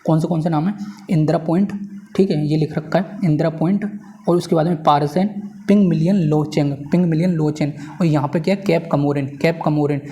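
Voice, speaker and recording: A man's voice: a little high, steady tone, brisk, dark tone. A man teaches in an informal lecture style, repeating key points and often checking that listeners follow. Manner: repetitive, checking understanding with tag phrases. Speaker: teacher for exam preparation. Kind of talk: informal lecture explaining at a board.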